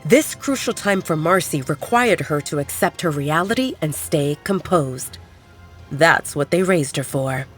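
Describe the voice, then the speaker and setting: Low, expressive woman narrating a story. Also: measured pace. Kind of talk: scripted audio story narration. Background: background music.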